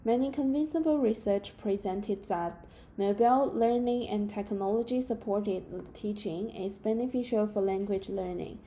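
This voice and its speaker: A young woman from China, a native Mandarin speaker with a Chinese accent, reading out a prepared class presentation in a steady, careful way. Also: medium pitch, moderate pace, slight background noise. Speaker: student presenter.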